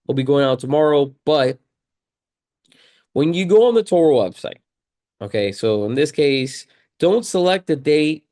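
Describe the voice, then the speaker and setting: A man with a slightly hoarse voice from a cold, talking casually to viewers in a tutorial, conversational and repeating key points for emphasis. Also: a little high, animated, unhurried, long pauses, darkish tone. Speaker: video creator and car rental host.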